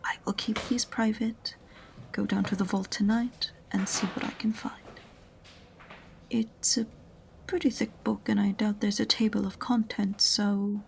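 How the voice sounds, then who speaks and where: A woman playing an unenthusiastic, sarcastic witch librarian in an audio roleplay, speaking softly and breathily with a condescending, exasperated manner. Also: mid-range voice, vocal fry, slight background noise. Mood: curt, impatient. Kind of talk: audio roleplay acting addressed to the listener.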